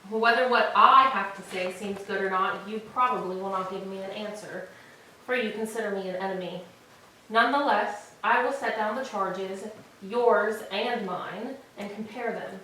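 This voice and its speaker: A woman playing a beautiful, defiant captive queen in a staged tragedy reading, arguing in measured, formal speech. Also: mid-range voice, sharp tone, close to an everyday voice. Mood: composed, defiant. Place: church, live staged reading. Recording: echoey church, distant mic, faint noise.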